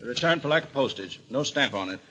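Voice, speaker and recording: An actor in a vintage radio drama playing a brisk, matter-of-fact, unbending mailman. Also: medium pitch, lively intonation, natural voice. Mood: businesslike. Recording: very noisy old radio recording.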